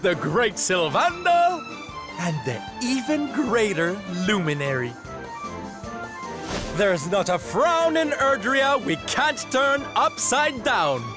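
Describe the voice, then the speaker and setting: A man's voice: very high-pitched, sing-song, slow, music in the background, heavily acted. A man playing a flamboyant, big-hearted showman in a video game, theatrical and effusive. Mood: upbeat, affectionate.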